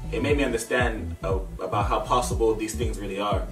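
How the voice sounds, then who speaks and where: A young man from Miami, a guest on an interview show, talking in a relaxed way with lots of fillers. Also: fairly low pitch, a little fast. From Miami, USA. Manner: relaxed, uses many fillers. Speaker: guest, aspiring model. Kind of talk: casual interview. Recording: echoey room, far from mic, music behind.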